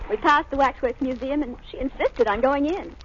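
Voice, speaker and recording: A polite, inquisitive young lady played by a woman speaks in a high voice. She is earnest and curious, in old radio-play style. The recording is dull with faint noise. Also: normal speed, natural voice. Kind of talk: old-time radio drama acting.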